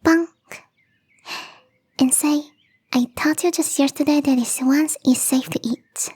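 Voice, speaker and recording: Soft, high-pitched whispery catgirl acted by a woman. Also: steady tone, slow. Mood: fond, reminiscing. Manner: gently teasing, confiding, addressing the listener directly. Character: gentle young catgirl gardener, teasing, earnest, soft-hearted. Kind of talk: ASMR roleplay, intimate scripted acting.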